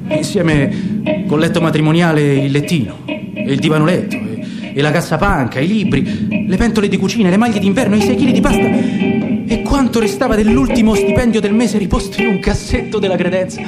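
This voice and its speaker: A man with an Italian accent narrating a literary radio drama in a measured, dramatic reading that lingers on details. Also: sits high. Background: guitar music.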